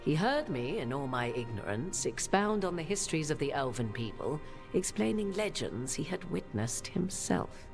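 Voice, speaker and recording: A woman playing a solemn human sorceress in a video game, speaking in measured, archaic, formal phrases. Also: low voice, sing-song. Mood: measured, proud. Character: solemn human sorceress bearing an ancient goddess's memories. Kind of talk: dramatic video game dialogue. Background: prominent music.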